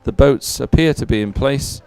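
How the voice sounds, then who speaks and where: A man's voice: mid-range voice, normal speed, creaky, a little mellow, clear, resonant tone, low-quality recording. Guy doing live race commentary, chatty and a bit rambling, faint music behind him. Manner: rambling, hesitant, explanatory. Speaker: sports commentator.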